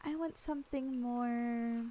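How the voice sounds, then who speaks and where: Soft-spoken young woman in ASMR roleplay. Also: fairly high pitch, fairly even intonation, takes it slowly. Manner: soft, affectionate, teasing. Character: loving long-distance girlfriend, young woman, teasing and tender.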